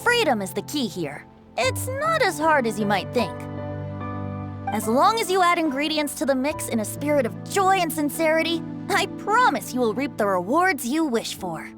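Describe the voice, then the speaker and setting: A woman's voice: high voice, very animated, normal speed. A woman voicing a playful, theatrical young male bard in a video game, with a lilting, poetic delivery. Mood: breezy, reassuring. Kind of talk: video game character acting with recited verse. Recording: music behind the voice.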